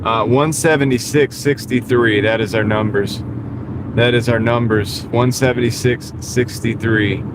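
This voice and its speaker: A man's voice: mid-range voice, steady tone, unhurried. A man chatting casually with viewers on a livestream while driving for deliveries, greeting people and chuckling often. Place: inside a car on the road. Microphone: small wireless clip-on mic. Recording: car road noise in background.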